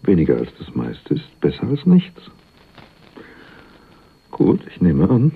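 An actor playing a sly, cynical go-between with a wry, teasing way of talking in a German radio crime drama. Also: male voice, deep voice, huge pitch swings, takes it slowly, gravelly, husky, full character voice. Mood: wry, flirtatious. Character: cynical, sly male go-between, cheekily flirtatious. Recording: muffled, phone-like 1980s radio recording.

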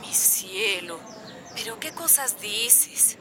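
Una actriz que interpreta en una radionovela a una madre trabajadora, cariñosa, preocupada y firme. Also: female voice, mid-range voice, expressive, slow, noisy background. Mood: tender, firm. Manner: worried, tender, firm. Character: anxious, affectionate working migrant mother of a teenager. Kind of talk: radio drama acting.

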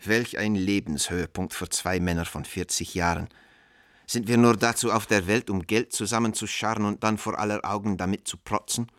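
Deep-voiced, wry middle-aged art dealer, acted by a man. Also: steady tone, normal speed, a bit bright, no room sound, studio quality, close to an everyday voice. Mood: self-reproachful. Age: 40s. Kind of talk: radio drama letter reading.

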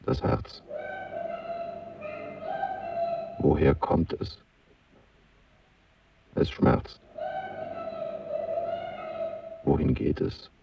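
Weary dreamer of an artist, very low creaky voice, introspective radio monologue, measured phrasing. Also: male voice, recorded from a distance, dull audio, noisy background, heavily acted. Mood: pained, hushed. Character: weary, idealistic artist voicing his inner diary. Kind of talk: literary radio play monologue.

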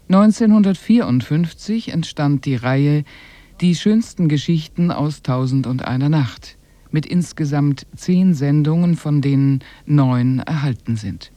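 A man presenting a radio programme from a script in a formal, measured, informative way. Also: a little high, dark tone, no room sound. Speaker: radio presenter introducing the broadcast.

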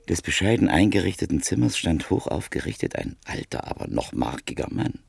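An actor narrating a radio play as a reflective art dealer from Berlin, measured and thoughtful. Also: male voice, low-pitched, gravelly, a little mellow, husky, no echo, clean studio sound, heavily acted.

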